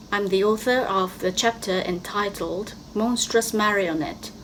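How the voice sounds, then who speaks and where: A woman's voice: mid-range voice, low-quality recording. Woman academic, faint background noise. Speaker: academic author. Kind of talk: scripted chapter presentation.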